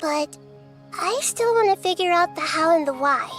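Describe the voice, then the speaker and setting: A woman voicing a gentle, wise, curious child-sized goddess in an anime video game, measured and thoughtful. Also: very high-pitched, expressive. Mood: curious, calm. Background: video game music.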